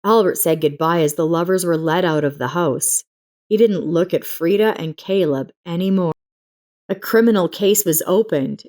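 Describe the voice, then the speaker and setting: A woman's voice: on the low side, a little mellow. A woman narrator reading a scripted dramatic story.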